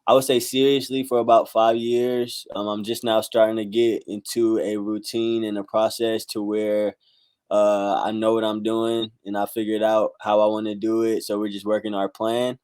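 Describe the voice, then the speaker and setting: A man's voice: fairly low pitch, flat delivery, normal speed, a little mellow, smooth, dry recording. A man in his twenties from the United States, a musician giving a casual, slangy, rambling interview. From United States, South Carolina and Northern California. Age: 20s. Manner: slangy, rambling, frequent "you know" and "like". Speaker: musician and rapper being interviewed.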